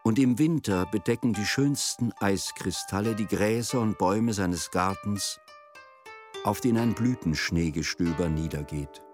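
Male narrator for a literary radio play: low voice, measured and solemn, faint music behind. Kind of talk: scripted literary storytelling narration.

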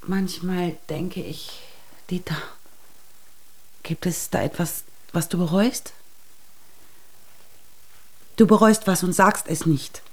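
A woman's voice: fairly low pitch, slow, long pauses, darkish tone. An actress playing an anxious, insistent middle-aged mother and wife in a naturalistic radio drama. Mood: brooding. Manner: worried, insistent, probing.

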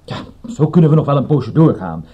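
An actor playing a wary, exasperated police inspector in a radio drama, official and chiding, on a dull lo-fi recording. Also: male voice, on the high side, sing-song, fairly quick, dark tone, slightly rough. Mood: official, firm. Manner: matter-of-fact, official, chiding.

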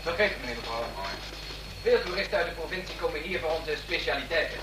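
An actor playing a polite, formal and servile restaurant waiter in a radio play. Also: male voice, a little high, animated, fast talker, distant mic, music in the background, natural voice. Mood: obliging. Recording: roomy, echoing radio drama sound.

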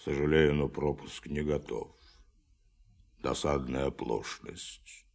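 Very deep, gravelly army general. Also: male voice, fairly even intonation, slow pace, exaggerated voice. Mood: stiff, reluctant. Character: gruff male army general, curt and official. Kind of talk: radio play acting.

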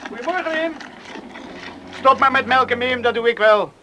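An actor playing an easygoing, evasive young farm son, eager to placate, in a Dutch radio play. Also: male voice, very high voice, moderate pace. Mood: placating. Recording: noisy old radio play recording.

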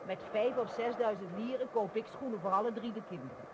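An actress playing a middle-aged, sharp-tongued wife in a radio play, scolding her husband with exasperated sarcasm. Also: fairly high pitch, a little fast, lo-fi sound, natural voice. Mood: irritated. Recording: noisy old radio play recording.

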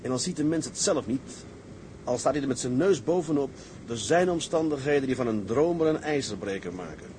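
Male actor for determined tugboat skipper: mid-pitched, earnest, declamatory dreamer, seafaring drama with light ambient noise. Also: animated, fairly quick, a little mellow, natural voice, poor audio. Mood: fervent. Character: resolute, dreamy male tug captain set on owning a ship. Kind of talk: dramatised seafaring story, scripted acting.

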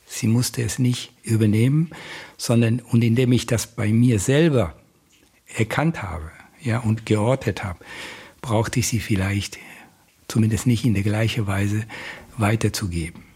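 Very husky, introspective man. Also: lowish voice, a little slow, darkish tone. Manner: introspective, thoughtful. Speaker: interviewee. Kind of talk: reflective personal account.

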